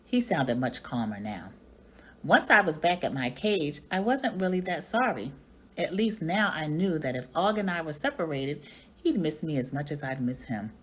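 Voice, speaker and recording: A woman narrates a children's story in expressive read-aloud style. Her voice sits low and moves widely in pitch. It has a husky edge. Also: normal speed. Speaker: teacher reading aloud.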